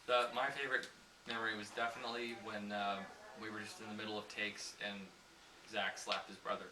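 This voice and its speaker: A young man joking about his video partner in a mock behind-the-scenes interview, halting with many restarts. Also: on the low side, little pitch movement, heavy background noise. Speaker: video creator.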